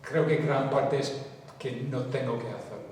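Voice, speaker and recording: A poet and translator whose first language is English, answering audience questions slowly and thoughtfully with restarts. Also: male voice, mid-range voice, steady tone, darkish tone. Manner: slow and reflective, with restarts. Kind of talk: unscripted answers in a public talk. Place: large hall with an audience. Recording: echoey hall from far off, faint hiss.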